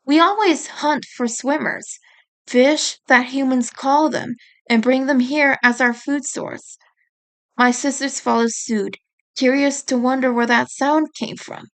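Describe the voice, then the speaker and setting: A woman reading a scripted first-person story aloud as its author, in a deliberate storytelling manner. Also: sits high, steady tone, a bit bright. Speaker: author reading own book chapter.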